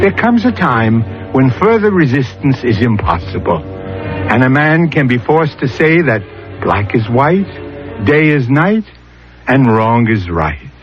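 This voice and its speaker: A man hosting a 1970s radio mystery show, delivering theatrical, measured scripted narration with rhetorical flourishes. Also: mid-range voice. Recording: dull old radio recording with music. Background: music.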